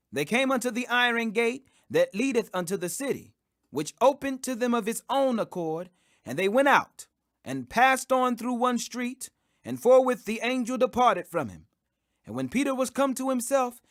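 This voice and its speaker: High-pitched, animated man reading scripture. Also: no room sound, clean studio sound. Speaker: Bible reader.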